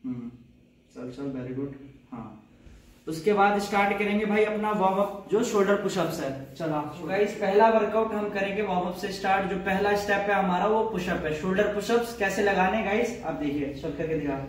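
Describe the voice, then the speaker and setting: A man's voice: high voice, moderate pace. An Indian man in his twenties coaching a workout demonstration, encouraging, with short repeated commands. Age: 20s. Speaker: fitness trainer and presenter. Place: indoor gym. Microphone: camera a few metres away. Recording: echoey room, distant mic, faint noise.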